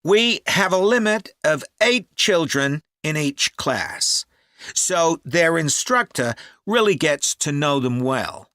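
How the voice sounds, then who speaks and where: A helpful camp manager answering an enquiry. His voice is bright and animated, and he takes his time as he explains things. It sounds scripted and informative, recorded very dry. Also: fairly high pitch, dry recording, exaggerated voice. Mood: helpful, upbeat. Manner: informative, measured, upbeat. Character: helpful, upbeat male cycle camp manager taking a call. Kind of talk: acted phone enquiry for a listening test.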